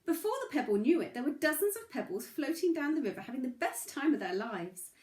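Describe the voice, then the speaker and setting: A woman reading a children's story aloud in an expressive, dramatic way. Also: high voice, quick pace. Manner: animated, dramatic. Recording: echoey room.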